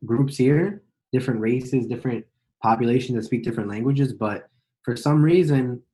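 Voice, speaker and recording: American guy asking questions, mellow warm tone, says like a lot. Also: medium pitch, moderate pace, poor audio. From United States. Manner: loose, full of "like" and restarts. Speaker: interviewer. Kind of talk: casual conversational interview.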